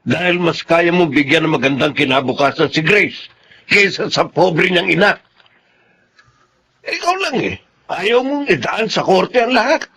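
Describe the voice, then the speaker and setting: A man's voice: on the high side, animated, unhurried, pushed and bright, breathy edge, heavily acted. A man acting in a Filipino radio drama as a stern, domineering elderly father and grandfather who admonishes insistently.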